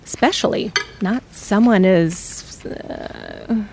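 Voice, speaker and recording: A woman's voice: medium pitch, huge pitch swings, vocal fry, a little mellow, husky, heavily acted. An actress playing a middle-aged mother who firmly presses her daughter about her future plans. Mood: earnest. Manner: firm, measured, blunt. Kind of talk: audio drama acting.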